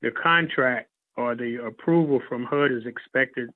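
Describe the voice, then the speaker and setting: A man's voice: medium pitch, a little slow, dry recording. Man on a thin phone line. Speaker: caller representing a nonprofit agency. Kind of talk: asking questions at a public hearing.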